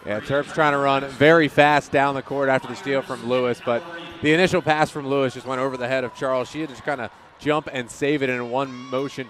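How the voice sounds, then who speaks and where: A man's voice: medium pitch, a bit bright. A man giving casual, conversational color commentary on a live basketball game, explaining each play. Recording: faint basketball arena noise.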